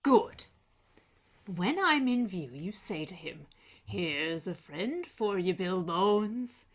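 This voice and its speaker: Woman playing a slow, menacing old blind sailor. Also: fairly low pitch, lively intonation. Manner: slow, fawning turning threatening. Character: menacing old blind sailor beggar man, wheedling then vicious. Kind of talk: radio play reading.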